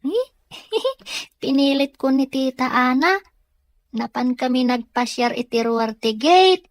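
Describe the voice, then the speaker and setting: Woman as an eager high-voiced young girl. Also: lively intonation, slow pace, no echo, studio quality. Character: eager, pleading young daughter. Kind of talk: Ilocano radio drama acting.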